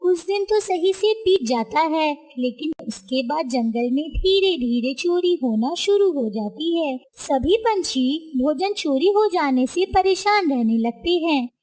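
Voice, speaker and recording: A woman's voice: sits high, animated, unhurried, hardly any pauses, darkish tone, rough recording. A woman reading scripted narration for a children's story.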